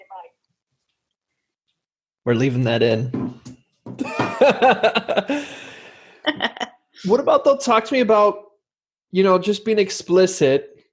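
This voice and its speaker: A young man hosting a casual home interview, rambling with lots of fillers and restarts. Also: on the high side. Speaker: podcast host.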